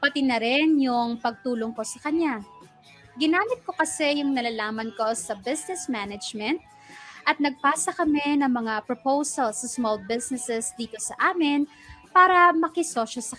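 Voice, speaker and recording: A devoted young woman narrating her own radio drama story. Her high voice is measured and dry, with faint music underneath. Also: fairly bright tone, dry recording. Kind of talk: first-person radio drama letter narration.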